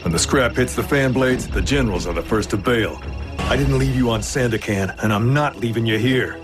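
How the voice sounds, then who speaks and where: Cartoon robot warrior, male, acted by a man: roguish, battle-hardened, laconic and wry, mid-pitched voice over prominent music. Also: a little fast, lightly acted. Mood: grim, resolute. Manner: wry, laconic tough-guy drawl with slangy nicknames. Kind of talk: animated series voice acting.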